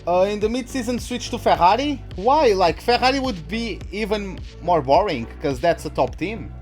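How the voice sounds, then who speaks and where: A young man whose first language is Portuguese talks over a racing game in a fairly high voice, rambling and chatty. He restarts sentences often, fills gaps with "uh" and "like," and pokes fun at himself. Soft game music plays faintly behind him. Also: expressive, moderate pace. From Portuguese-speaking country. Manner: rambling, chatty, many fillers and restarts, self-mocking. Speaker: gaming YouTuber. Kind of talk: casual let's-play commentary.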